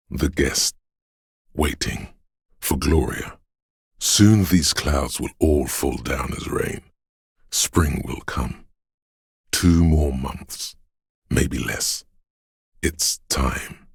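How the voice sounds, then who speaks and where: A solemn, otherworldly inner voice of a city for a game: extremely low, badly husky, poetic and measured. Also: male voice, dry recording, clean studio sound, exaggerated voice. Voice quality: very deep, gravelly, husky. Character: brooding, mystical inner voice sensing the city and wind. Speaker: video game voice actor. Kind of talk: scripted poetic second-person game narration.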